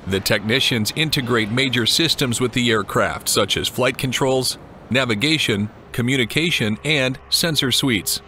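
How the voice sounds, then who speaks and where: A man reading a scripted documentary narration in an even, measured way. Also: medium pitch, slight background noise. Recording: voice-over with clear music behind.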